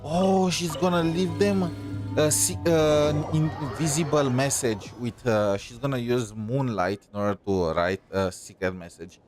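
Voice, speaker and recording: A man giving casual live-stream commentary on a game, halting as he thinks aloud. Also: medium pitch. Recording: stream with faint game music.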